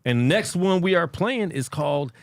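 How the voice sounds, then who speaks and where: Animated podcast host in his late forties. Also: a little high, normal speed, dry recording, studio quality. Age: 40s. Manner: animated, talks over others, repeats words. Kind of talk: casual interview chat. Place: podcast studio.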